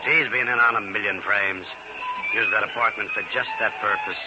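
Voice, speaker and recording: An actor playing a guarded, bitter underworld informant in an old radio crime drama, curt and wary. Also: male voice, fairly low pitch, fairly even intonation, normal speed, loud music behind the voice, lightly acted. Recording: hissy, muffled old radio recording.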